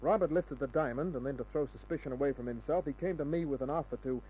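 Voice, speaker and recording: An actor playing a tough, sardonic private detective in a clipped old-time radio crime style, on a noisy old broadcast. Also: male voice, mid-range voice, fast talker, mellow, dull audio, soft background music, natural voice. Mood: confident. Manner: hard-boiled, sardonic, clipped. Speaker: actor playing the lead and narrator.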